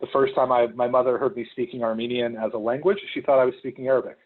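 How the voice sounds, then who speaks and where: A young man from Ohio talks through an information session in a loose, conversational way, dropping plenty of ums. His voice sits at an ordinary middle pitch. The sound is thin and narrow, like a video call. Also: steady tone, quick pace. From Ohio, United States. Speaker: returned volunteer presenter.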